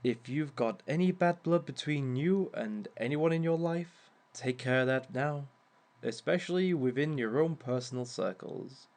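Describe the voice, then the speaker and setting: A man's voice: mid-range voice, a little slow, darkish tone, lightly acted. A man voicing a sly, theatrical male villain who lectures on dating in an audio roleplay, with chuckling asides. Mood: smug. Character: smug male villain giving a dating seminar.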